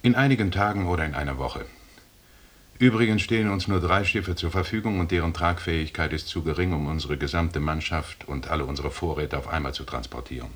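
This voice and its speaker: A stern male expedition commander in a radio drama, coolly condescending and formal. His deep voice is husky, and he lectures in measured phrases. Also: fairly bright tone. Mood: curt. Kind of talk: 1980s German radio drama acting.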